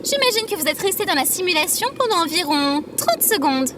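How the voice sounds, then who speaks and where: A woman's voice: very high voice, big pitch swings, fairly quick, noisy background. A woman playing a small, prim female robot companion in an audio drama, speaking formally and scolding with exasperation. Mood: concerned.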